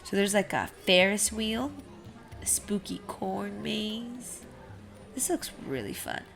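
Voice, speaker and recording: Animated woman reading aloud to children. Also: mid-range voice, takes it slowly, gravelly, over music. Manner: expressive, questioning, explains words to children. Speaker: read-aloud storyteller for children. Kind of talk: interactive picture book read-aloud.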